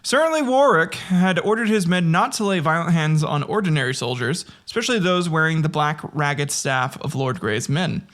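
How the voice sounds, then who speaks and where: A man's voice: a little high, expressive, moderate pace, few pauses, fairly bright tone. A young man hosting a history podcast, reading history with casual, profane comic asides and frequent laughs.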